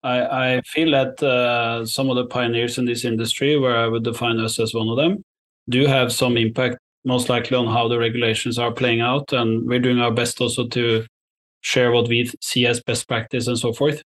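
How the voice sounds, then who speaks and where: Measured man giving careful interview answers. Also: mid-range voice, flat delivery, a bit bright. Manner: measured, diplomatic, careful wording. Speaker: investor, interview guest.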